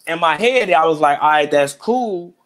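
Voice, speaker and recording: A man's voice: on the high side, lively intonation, moderate pace, a bit bright. A man in his thirties, a music producer talking casually as an interview guest, in a laid-back, rambling way. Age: 30s. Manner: laid-back, rambling, keeps saying "you know what I'm saying".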